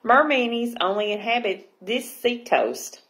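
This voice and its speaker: Woman voicing a tiny prim mermaid, lively pitch. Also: measured pace, poor audio. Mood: shy, proud. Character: tiny polite young mermaid, prim and a little shy. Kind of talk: children's picture book read-aloud.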